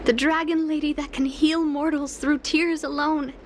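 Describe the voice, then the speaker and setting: A woman's voice: high-pitched, little pitch movement, moderate pace, lightly acted. A woman playing an ailing, lovelorn fugitive in a video game, earnest and sorrowful, pleading as she speaks. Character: anguished long-lived woman, ailing fugitive in love. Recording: loud music behind the voice. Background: game music.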